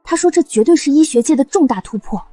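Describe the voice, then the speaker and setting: Woman acting sweet affectionate young drama character, gentle n mellow, dry sound. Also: high-pitched, lively intonation, fast talker, dry recording, soft background music.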